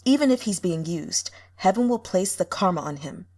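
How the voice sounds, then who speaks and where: A man's voice: high-pitched, lively intonation, normal speed, a little mellow. Woman voicing a menacing, blunt sect lord. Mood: cold threat. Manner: blunt, threatening, imperious. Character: menacing, impatient sect-lord man, cold and scornful. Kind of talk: audiobook character acting.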